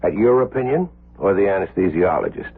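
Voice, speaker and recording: A dull, faintly noisy old radio recording of a stern middle-aged senior surgeon. The voice is gravelly and moves expressively in pitch. He is curt, dry and exacting, used to giving orders. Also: lively intonation, brisk, heavily acted. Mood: curt, testing. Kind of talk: 1970s radio drama acting.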